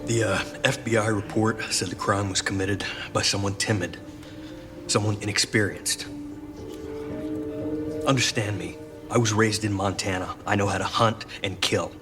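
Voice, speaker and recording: Low-voiced, defiant accused killer played by a man. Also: fairly even intonation, natural voice. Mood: defiant, boastful. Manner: boastful, contemptuous, deliberate. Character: defiant, cold-blooded male murder defendant and hunter. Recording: music behind the voice.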